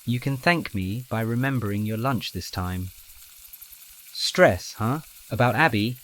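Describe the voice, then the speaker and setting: Man playing a measured, bookish young roommate. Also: lowish voice, a bit bright. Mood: friendly, thoughtful. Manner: measured, slightly formal phrasing. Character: blond young man, tidy bookish early-rising roommate, gently teasing. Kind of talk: audio drama acting.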